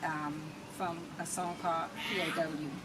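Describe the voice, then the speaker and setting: A woman's voice: deep voice, little pitch movement, unhurried. An American woman with a small voice, chatting casually as an interview guest. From United States. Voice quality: small, soft-projecting voice. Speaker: interview guest, natural healer and spoken word artist. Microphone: camera mic at a distance, no wireless mic. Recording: distant mic, noisy ambient background.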